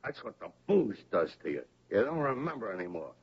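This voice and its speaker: Gravelly, rambling old-radio drunk. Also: male voice, on the low side, sing-song, exaggerated voice. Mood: rambling, muddled. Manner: rambling, halting, repeating himself. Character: half-mad, confused, pleading alcoholic male derelict. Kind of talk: radio drama acting. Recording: dull, lo-fi old radio recording.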